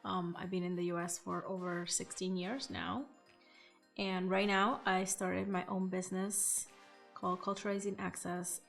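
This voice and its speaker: Gravelly-voiced woman. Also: fairly low pitch. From Portugal and Venezuela. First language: Spanish or Portuguese. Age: 30s. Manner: earnest, reflective, frequent fillers. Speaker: interviewee. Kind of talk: documentary interview. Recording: faint music behind.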